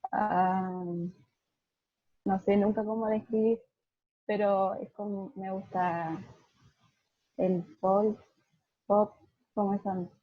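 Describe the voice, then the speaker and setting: A woman's voice: mid-range voice, flat delivery, slow, pauses often, breathy edge, dry recording. A woman of twenty, chatting casually and hesitantly on a video call. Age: 20s. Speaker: meeting participant. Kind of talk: casual chat. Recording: muffled, dry video call audio.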